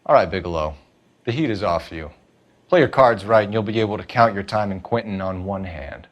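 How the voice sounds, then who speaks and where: A man's voice: lowish voice, expressive, fairly quick, natural voice. An actor playing a stern, driven police detective in a video game, commanding and clipped as he questions and gives orders. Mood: firm. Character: stern, driven male police detective, hard-nosed and controlled. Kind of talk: cinematic video game acting.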